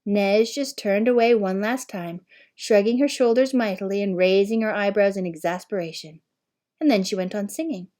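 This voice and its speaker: A woman narrates a storybook at a middle pitch. Her delivery is measured and expressive, in the manner of someone reading a novel aloud. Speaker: audiobook reader.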